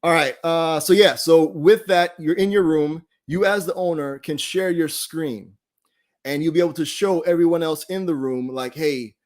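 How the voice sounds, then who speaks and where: A man's voice: a little high, darkish tone. A man hosting an online study group, casually explaining website features in an informal, laid-back, conversational way.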